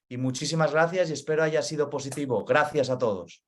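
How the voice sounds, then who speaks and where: A man's voice: a little high, brisk. Earnest man with a Spain Spanish accent. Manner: earnest, repeats key points, frequent "vale?" check-ins. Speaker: webinar speaker, data center expert. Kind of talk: explanatory webinar talk.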